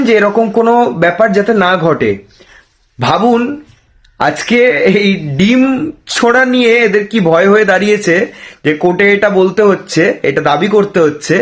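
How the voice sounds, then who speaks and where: A man with a West Bengal accent giving opinionated political commentary, challenging with rhetorical questions and dropping in English words. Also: high-pitched. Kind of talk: opinionated news commentary to camera.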